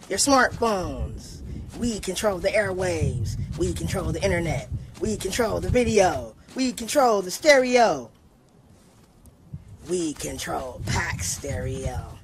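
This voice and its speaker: A gloating puppet villain, put on by a performer, seizing the airwaves in a theatrical skit. The pitch swoops about as each phrase is drawn out to taunt, over slight background noise. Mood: commanding. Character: gloating puppet villain hijacking a television broadcast. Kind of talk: theatrical skit, mock broadcast announcement.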